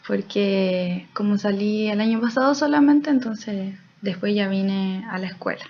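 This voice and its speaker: A woman's voice: medium pitch, unhurried, a little hiss or hum. A young woman from Chile with a Chilean accent, a mission student, giving shy, hesitant answers in an interview. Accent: Chilean Spanish. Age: young adult. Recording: dull lo-fi sound.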